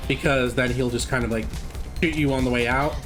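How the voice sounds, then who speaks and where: A man's voice: mid-range voice, steady tone, brisk. A man giving casual live commentary as he plays through a game speedrun. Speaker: gaming streamer, speedrun commentator. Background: game music and sound.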